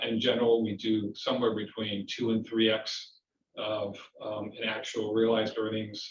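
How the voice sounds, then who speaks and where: Low-voiced man presenting in a roomy, distant recording. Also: fairly bright tone. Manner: hesitant, halting delivery with repeated words. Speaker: company executive presenting an earnings call. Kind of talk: semi-scripted investor presentation. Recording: roomy, distant, dull conference-call audio, faint noise.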